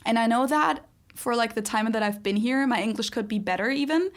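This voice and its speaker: A young adult woman from Germany with a German accent, co-hosting a podcast in relaxed chat, full of "like" and "um". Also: a little high, fairly quick. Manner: chatty, fillers like "like" and "um", frequent laughs. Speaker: podcast co-host. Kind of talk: casual chat.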